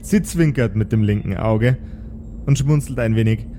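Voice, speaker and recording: A man hosting and narrating an improvised tabletop audio drama as game master, measured and descriptive. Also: mid-range voice, dark tone, faint music behind. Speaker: game master and podcast host.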